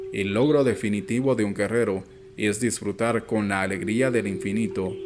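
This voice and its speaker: A man reading a scripted audiobook text in a measured way, as a narrator. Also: on the low side.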